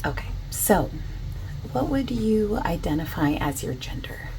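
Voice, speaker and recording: A soft-spoken woman doing an ASMR roleplay as a survey interviewer, asking questions in a gentle, casual, reassuring way. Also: mid-range voice, very animated, a little slow, rough recording. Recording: constant keyboard typing noise. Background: computer keyboard typing and clicking.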